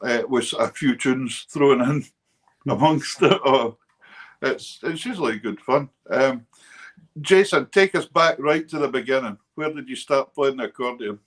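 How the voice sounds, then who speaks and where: A man's voice: medium pitch, a little slow. Chatty male host. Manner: relaxed and chatty, addresses viewers as folks. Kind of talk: casual chat.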